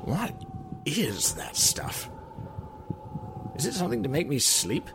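A snappish, restless gentleman of the house, acted by a man, in radio-drama style. His pitch leaps about wildly while his pace stays slow. There is clear background noise. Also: huge pitch swings, exaggerated voice. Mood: agitated, restless. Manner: gruff, snappish, blustering. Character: irritable, restless, nervous gentleman master of the house. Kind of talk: old-time radio drama acting.

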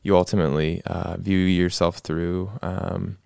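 A man's voice: low-pitched, flat delivery, a little slow, vocal fry, warm tone, clean tone, no room sound, studio quality. A man, a guest musician, talking casually and thoughtfully on a podcast, with frequent fillers and restarts.

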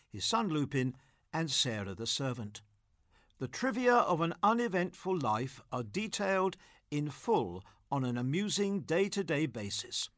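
A man's voice: fairly high pitch, fairly bright tone, no room sound, studio quality. A man, presenting in scripted literary narration.

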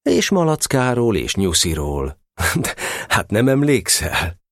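A man playing a fatherly, gently amused storyteller, narrating a children's audio drama expressively. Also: on the low side, sing-song, no room sound, studio quality.

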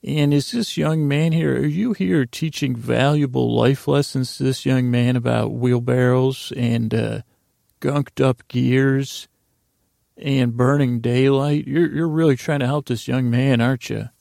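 Man playing an overly polite, halting city mediator. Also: medium pitch, vocal fry, dry recording, clean studio sound. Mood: coaxing. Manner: soothing, flattering, halting.

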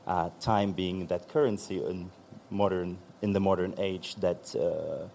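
A man's voice: low voice, steady tone, measured pace, creaky, a little mellow, a little hiss or hum. A man, a magazine founder on a panel, talking loosely and searchingly with many fillers. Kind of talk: conversational panel talk.

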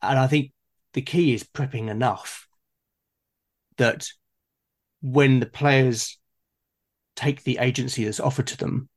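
A man's voice: medium pitch, steady tone, slow pace, long pauses. A man talking as a game master in an unscripted discussion, hesitantly repeating words as he forms his thoughts.

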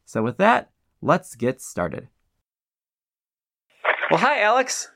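Man hosting a podcast, gravelly edge. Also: high voice, huge pitch swings, takes it slowly, a bit bright. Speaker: podcast host and interviewer. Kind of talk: podcast introduction and conversational interview.

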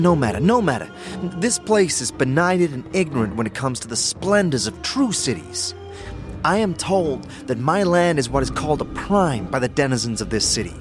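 A proud, touchy swordsman speaks in a fairly high, very animated voice. He is haughty and theatrical, with old-fashioned fantasy diction, over a clear bed of music. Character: pompous, vain, prickly warrior swordsman from another world.

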